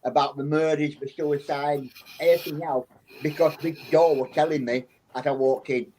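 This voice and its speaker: A man's voice: a little high, fairly even intonation, normal speed, mellow, slight background noise. A man with a South Yorkshire accent and a slightly raised voice, chatting as an interview guest. He tells loose, rambling stories, doubling back and restarting as he goes. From England. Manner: rambling anecdotal storytelling with frequent restarts. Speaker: psychic guest. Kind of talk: casual interview chat.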